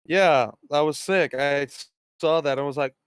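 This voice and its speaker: A man's voice: on the high side, normal speed, clean tone, no room sound, clean studio sound. A man chatting casually as a player in a tabletop role-playing game, informal and jokey.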